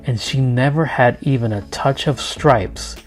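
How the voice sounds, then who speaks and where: A man's voice: medium pitch, a little slow, soft background music. A man narrating a children's story expressively as a read-aloud. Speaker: storybook reader.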